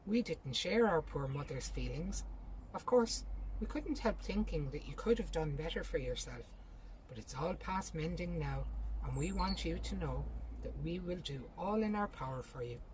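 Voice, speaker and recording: A woman's voice: low voice, animated, moderate pace. A woman narrator reads as a hesitant brother in a short story, dutifully reassuring his family. The recording carries faint noise behind the speech. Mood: awkward reassurance. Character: thin, uncertain brother reciting dutiful reassurance.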